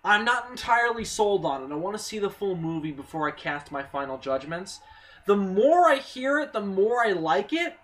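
A hoarse young man with a slight cold, talking casually and excitedly about a movie trailer, with lots of ums and restarts. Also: high voice, normal speed. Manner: excitable, rambling, swearing, many ums and restarts. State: hoarse, a little sick. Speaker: movie news vlogger. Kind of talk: casual spoken movie commentary.

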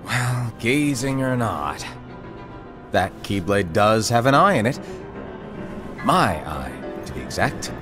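An actor playing a playful, mischievous master and mentor in a game cutscene, teasing and theatrical. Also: male voice, on the low side, sing-song, music in the background. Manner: theatrical, teasing, drawn-out wordy delivery. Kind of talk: animated game cutscene dialogue.

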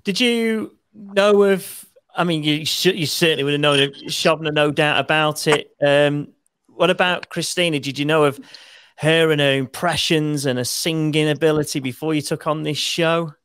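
A man's voice: fairly high pitch, fairly even intonation, moderate pace, fairly bright tone, smooth, dry recording, clean studio sound. A man co-hosting a podcast interview, asking easygoing, teasing questions. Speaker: podcast co-host. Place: small studio, indoors. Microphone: podcast mic on stand.

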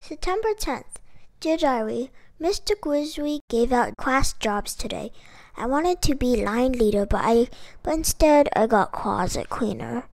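A woman reading a children's picture book aloud in an expressive, emphatic storytelling manner. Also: on the high side, a little slow. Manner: expressive, emphatic delivery with dramatic exclamations. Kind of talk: animated children's read-aloud.

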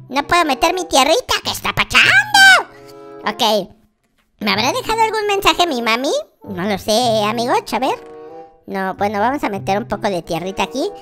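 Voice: sits high, bright, forceful tone, heavily acted. A performer voicing a whiny, naive baby boy in a Minecraft roleplay, with childish wording, exclamations. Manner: childish diminutives, exclaiming, addresses viewers constantly. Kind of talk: in-character Minecraft roleplay commentary.

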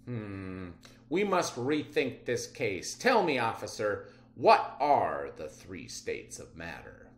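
A man playing a brisk, bossy and impatient police captain in a comic book. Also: on the low side, big pitch swings, reverberant, exaggerated voice. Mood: pondering. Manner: commanding, impatient.

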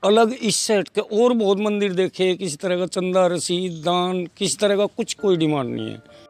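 A man's voice: high voice, normal speed, a little mellow, no echo, faint music behind. An older man with a western Uttar Pradesh accent giving unscripted interview answers as a local villager. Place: outdoors by a temple wall.

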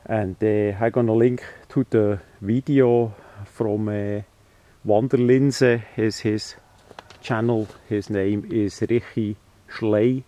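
A man explains things informally and hands-on, in halting, phrasing. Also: on the low side, steady tone, dark tone. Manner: halting, hesitant phrasing with non-native grammar. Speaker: radio hobbyist presenter.

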